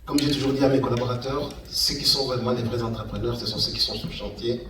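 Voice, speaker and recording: A middle-aged man gives an informal talk as an entrepreneur, in a halting way, repeating words for emphasis. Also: medium pitch, fairly even intonation, fairly bright tone. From Democratic Republic of the Congo, Kananga. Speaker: guest speaker, engineer and entrepreneur. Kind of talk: informal public talk recounting a career path. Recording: echoey room, distant mic, faint noise.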